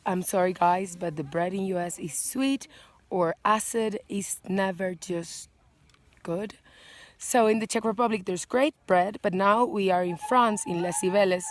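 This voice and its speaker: Female vlog host, native Spanish speaker with Venezuelan accent, mid-pitched voice, informal and expressive delivery to camera. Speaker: vlogger and channel host. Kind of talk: casual chatty list vlog to camera.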